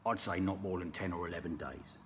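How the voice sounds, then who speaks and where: A man's voice: low-pitched, steady tone, a little fast, long reverb tail, slight background noise, lightly acted. A man voicing a nasty old man who gloatingly taunts his wife in a storybook reading. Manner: taunting, mock-solemn.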